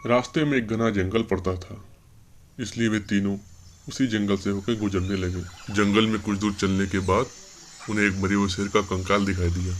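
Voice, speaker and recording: A man's voice: fairly low pitch, fairly even intonation, normal speed, a little hiss or hum. A man telling a scripted children's story as a narrator.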